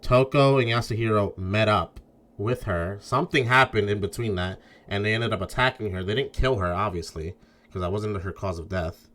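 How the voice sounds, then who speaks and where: A man's voice: fairly low pitch, normal speed, fairly bright tone. A man talking casually and informally as a game streamer, swearing and thinking aloud through his theories. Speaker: streamer playing a mystery game.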